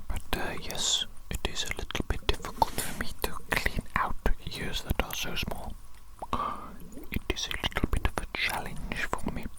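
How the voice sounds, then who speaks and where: A performer voicing a doting, eccentric evil doctor in a breathy whispered ASMR roleplay, with fussy, repetitive, quaintly formal phrasing. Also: normal speed, close to an everyday voice, rough recording. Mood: fussy, attentive. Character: doting eccentric evil doctor fussing over a tiny creature.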